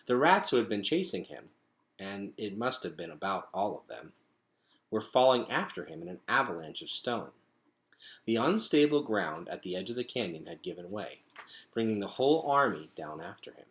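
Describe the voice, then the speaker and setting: Expressive, lively low-voiced man reading a story. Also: moderate pace. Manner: dramatic, emphatic phrasing. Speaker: read-aloud storyteller.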